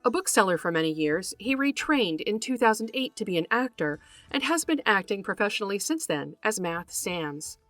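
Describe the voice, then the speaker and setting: A woman hosting a podcast, reading a scripted narration in a measured, carefully enunciated way. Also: mid-range voice, very animated, a bit bright, no room sound, soft background music. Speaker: podcast host. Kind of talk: scripted narration, introducing a guest.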